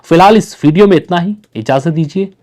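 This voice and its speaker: High-pitched male news narrator over music. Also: fairly quick. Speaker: news presenter. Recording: music bed under the voice. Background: background music.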